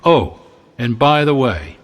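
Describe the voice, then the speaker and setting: A man hosting a radio program, reading a scripted introduction with slow, measured and deliberate phrasing. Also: medium pitch, very animated.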